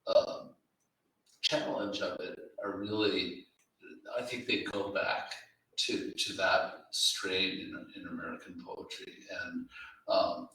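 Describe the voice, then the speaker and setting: In a strongly reverberant room, off the mic, a man talks about literature unscripted. He is slow and halting, choosing each phrase with deliberation in a bright, somewhat low voice. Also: a little hiss or hum. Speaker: literary event speaker. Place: reading room at a live literary event. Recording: very echoey room, distant microphone.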